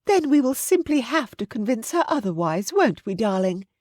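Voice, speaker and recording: Woman doing posh older widowed mum for an audiobook, high voice, fond and chatty, kinda imperious. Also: very animated, normal speed, darkish tone, no room sound, studio quality. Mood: fond, assured.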